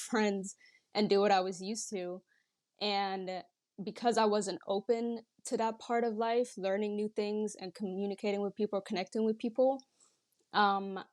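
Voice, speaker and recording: A woman's voice: mid-range voice, darkish tone, no echo, studio quality. A young woman from the Netherlands, chatting casually and reflectively as a podcast guest. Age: young adult. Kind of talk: casual reflective interview chat.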